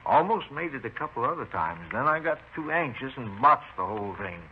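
An actor playing a talkative, eccentric older widowed neighbor in a 1950s radio drama, rambling and hesitant in a folksy way. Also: male voice, mid-range voice, very animated, natural voice. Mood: eager, proud. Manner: rambling, unhurried. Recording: tinny, muffled 1950s radio recording, faint noise.

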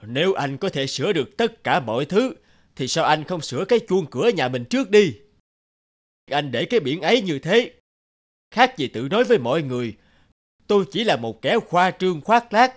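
A man's voice: on the high side, sing-song, moderate pace. A man voicing a modest older bicycle repairman in a cartoon, admonishing in a plain, matter-of-fact way. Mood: reproachful. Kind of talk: cartoon dubbing.

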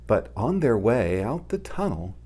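A middle-aged man reading a children's picture book aloud in a gentle, deliberate manner, explaining as he goes. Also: on the low side, very animated, slightly rough. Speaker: storytime host, pastor. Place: living room, indoors.

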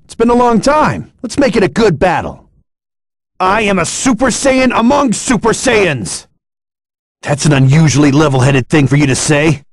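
An actor playing an earnest young martial-arts hero in an anime fighting game, with an intense, emphatic delivery. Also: male voice, sits high, no echo, clean studio sound, exaggerated voice. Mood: friendly, eager.

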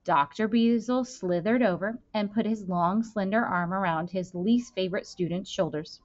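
A woman's voice: medium pitch, normal speed. Woman reading a novel aloud, dull sound. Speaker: school librarian reading aloud. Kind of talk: expressive children's novel read-aloud. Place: indoor room. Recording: dull, lo-fi webcam-style sound.

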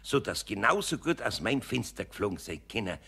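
An actor playing a patient, good-natured older Bavarian master craftsman in a children's radio play, with a gravelly, husky voice. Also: fairly low pitch, sing-song, fairly quick, bright, forceful tone, heavily acted. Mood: scheming, good-natured.

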